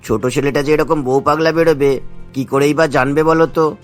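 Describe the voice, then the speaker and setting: A man's voice: fairly high pitch, fairly even intonation, moderate pace, soft background music, lightly acted. A man voicing a disgruntled middle-aged family father in a cartoon.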